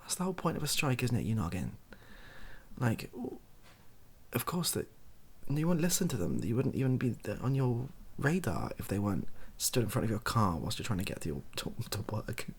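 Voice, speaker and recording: A British man softly rambling through an improvised monologue, breathy and often near a whisper, with a wry, self-deprecating, hesitant manner. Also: mid-range voice, big pitch swings, brisk. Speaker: video host.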